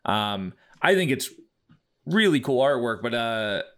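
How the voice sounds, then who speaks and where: A man hosting a casual music podcast, asking questions haltingly with many fillers and restarts. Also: medium pitch, very animated, a bit bright. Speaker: podcast host. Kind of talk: casual interview chat.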